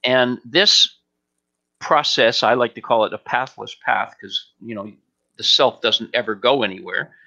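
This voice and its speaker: A man giving an unscripted spiritual question-and-answer talk as a teacher, speaking deliberately and emphatically with frequent pauses on an online stream. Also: medium pitch, vocal fry, bright, forceful tone, dull audio.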